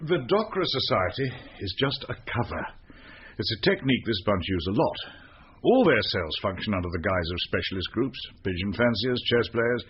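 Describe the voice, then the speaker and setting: An actor playing a pompous, formal British secret service officer in a radio drama. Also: male voice, fairly low pitch, lively intonation, normal speed, close to an everyday voice. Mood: grave, pompous. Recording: muffled radio recording with hiss.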